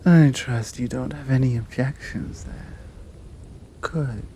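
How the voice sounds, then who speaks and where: Casting: female performer for commanding, flirtatious British monster woman, ASMR roleplay. Unhurried, mellow delivery with teasing whispered drops. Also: very deep voice, a little hiss or hum. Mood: seductive, pleased. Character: seductive, commanding horned fanged monster woman. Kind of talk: intimate ASMR roleplay acting.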